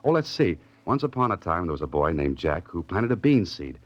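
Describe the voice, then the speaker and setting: A very low-pitched, wry man, an adventurous writer with a soft heart. He speaks in understated 1940s radio style, half to himself. The old recording is muffled, with music clearly behind. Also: big pitch swings, fairly quick. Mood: gentle, soothing. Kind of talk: 1940s radio drama acting with narrated inner thoughts.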